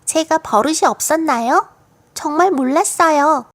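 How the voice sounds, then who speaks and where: A woman's voice: sits high, a little slow. A woman voicing a cheeky, confident little girl full of fanciful tales in a children's storybook audiobook. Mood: innocent, apologetic. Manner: matter-of-fact, playful tall-tale telling.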